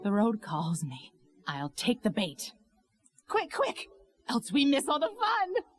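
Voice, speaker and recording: A woman's voice: a little high, huge pitch swings, slow pace, exaggerated voice. A woman voicing a playful, morbid female adventurer in a game. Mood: gleeful. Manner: playful, eager. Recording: game audio clip with music.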